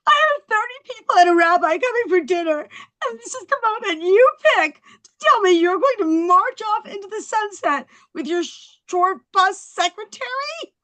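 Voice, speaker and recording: An actress playing a hurt, stubborn, sardonic New York housewife at a table read, with emphatic, sarcastic delivery. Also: female voice, very high voice, animated, normal speed, sharp tone, heavily acted, poor audio. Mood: tearful, sarcastic. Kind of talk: table read of a TV comedy-drama script.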